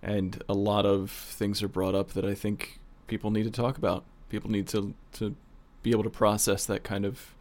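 A man's voice: lowish voice, level tone, moderate pace, darkish tone. A man talking casually on a podcast as a producer and co-host. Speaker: audio drama producer, co-host.

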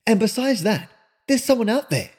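A man's voice: high-pitched, big pitch swings, moderate pace, darkish tone, breathy edge, voice pushed up, exaggerated voice. A man reading aloud and voicing an anxious young woman stranded at a roadside inn, pressing with questions. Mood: anxious, demanding.

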